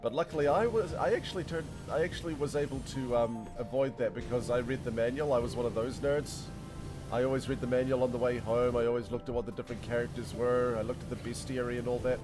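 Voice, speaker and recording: A man's voice: medium pitch, fairly even intonation, quick pace. A man streaming a game, chatting casually and rambling to his viewers with frequent laughs. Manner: chatty, rambling, laughs often, talks to chat. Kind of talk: casual gameplay commentary. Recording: game music under the voice. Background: game music.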